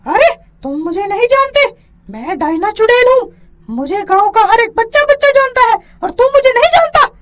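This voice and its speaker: A woman voicing a hungry, greedy witch in an animated children's story, exclaiming and gloating as she schemes. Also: very high-pitched, moderate pace. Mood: gloating, bossy.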